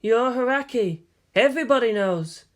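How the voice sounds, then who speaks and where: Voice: medium pitch, lively intonation, moderate pace, pitched above the performer's own voice, natural voice. A narrator voicing a mild, geeky young male lieutenant who is reluctant and slightly curt, in an audiobook story. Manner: soft-hearted, slightly curt.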